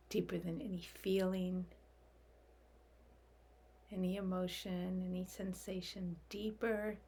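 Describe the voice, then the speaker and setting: A woman leading a guided meditation in a gentle, soft, unhurried way, leaving long calming pauses. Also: medium pitch, a little hiss or hum.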